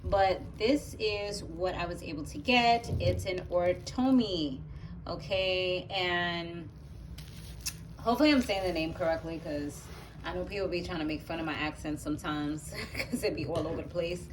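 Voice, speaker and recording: A chatty, excitable woman with a mixed West Indian and Bronx accent, unboxing a product and reading its instructions aloud with asides. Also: lowish voice, moderate pace, background noise. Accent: West Indian and Bronx New York mix. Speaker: vlogger. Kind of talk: casual unboxing chat.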